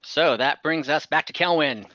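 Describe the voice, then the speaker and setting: A young man acting as dungeon master on a video call, easygoing and quick to wave off rule slips. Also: on the high side, sharp tone, no room sound. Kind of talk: running an online tabletop game. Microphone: headset on a video call. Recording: dull lo-fi video call audio.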